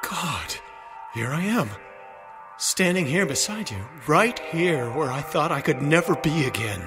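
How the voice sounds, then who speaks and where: A man playing an ailing, tender husband and father in an audio drama, speaking in halting, urgent repetitions. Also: on the high side, animated. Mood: awed. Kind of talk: emotive audio drama acting. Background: loud music.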